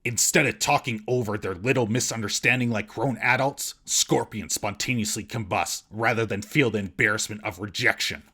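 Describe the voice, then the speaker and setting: Forceful, husky male reviewer. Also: lowish voice, normal speed, few pauses. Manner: sarcastic, mocking. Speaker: host, movie reviewer. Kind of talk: scripted comedic review narration.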